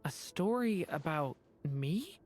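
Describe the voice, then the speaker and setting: A wistful dragonborn youth from a fantasy game, slightly low in pitch and slow in pace. He sounds gentle and thoughtful, with faint music behind. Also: lively intonation. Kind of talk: video game voice acting.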